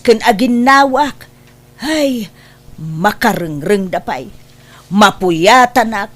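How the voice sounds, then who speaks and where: A woman's voice: mid-range voice, close to an everyday voice. A woman with an Ilocano accent playing a gossipy, exasperated friend in a comedy drama. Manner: exasperated, scornful. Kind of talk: Ilocano comedy radio-style drama acting.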